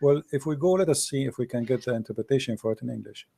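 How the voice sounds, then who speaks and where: Brief: male debate host, warm low-key tone, lecturing a caller. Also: fast talker, creaky, husky. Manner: questioning, explains patiently, reads passages aloud. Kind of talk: live call-in debate, reading texts aloud.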